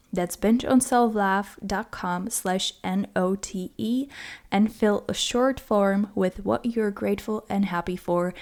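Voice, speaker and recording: A woman's voice: medium pitch, a little mellow. Earnest woman podcaster. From Czech Republic. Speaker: podcast host. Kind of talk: scripted solo podcast monologue.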